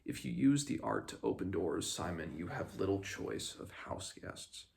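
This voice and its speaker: A man reading aloud as a wise storybook mentor, solemn and measured as he cautions a pupil. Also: sits low, lively intonation, creaky, darkish tone, slight background noise, heavily acted. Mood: solemn warning. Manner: measured, admonishing.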